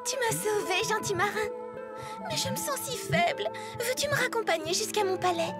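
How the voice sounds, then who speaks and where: A crafty cartoon heroine with a very high voice. She is coaxing and expressive in her delivery. Music runs underneath. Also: normal speed. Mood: languishing, seductive. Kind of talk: French cartoon voice acting.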